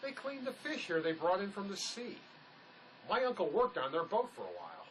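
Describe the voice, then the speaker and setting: A man's voice: high-pitched, wildly swooping pitch, moderate pace, a bit bright, a little hiss or hum, full character voice, low-quality recording. A man acting as a laid-back, resourceful escaped convict in a drama, speaking in a dry, folksy, matter-of-fact way. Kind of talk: dramatic script performance.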